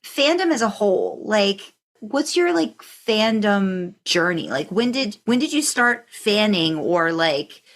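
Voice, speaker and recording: A woman's voice: medium pitch, big pitch swings, slow, hardly any pauses. A woman hosting a casual conversational interview, informal and thinking aloud as she goes. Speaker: podcast host, interviewer.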